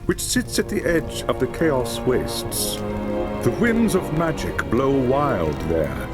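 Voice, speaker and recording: A grave elven archmage from a fantasy game. He speaks with formal, measured solemnity, his pitch rising and falling widely. Prominent music plays behind him. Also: wildly swooping pitch. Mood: grave warning. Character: grave, cautious high elf lore master, an archmage.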